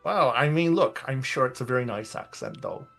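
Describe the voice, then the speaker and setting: Man, mid pitch, rambling, hesitant, tabletop roleplay, faint music. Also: animated, normal speed, creaky, fairly bright tone. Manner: rambling, hesitant, full of "I mean".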